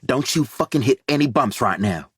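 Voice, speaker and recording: A man voicing a sharp woman medic who gives urgent, swearing orders in a crisis. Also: medium pitch, animated, normal speed, breathy edge, no room sound, clean studio sound, pitched above the performer's own voice. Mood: commanding.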